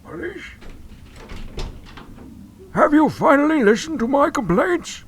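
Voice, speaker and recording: An actor in a radio drama playing a cantankerous, litigious old gentleman, pompous and indignant, declaiming in a creaky voice. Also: extremely high pitch, sing-song, takes it slowly, a little hiss or hum, exaggerated voice. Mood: suspicious, indignant. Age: older.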